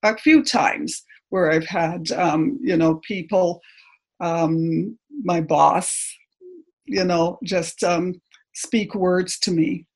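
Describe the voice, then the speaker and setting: A middle-aged woman from Canada with no accent, giving a personal testimony in church, hesitant and full of pauses and ums. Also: on the low side, fairly even intonation, slightly rough. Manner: hesitant, halting, many ums.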